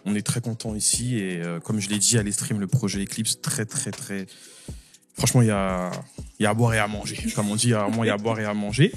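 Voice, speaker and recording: A man's voice: lowish voice, steady tone, quick pace, over music. A man hosting a casual interview, encouraging his guest and often repeating his own phrases. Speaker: host.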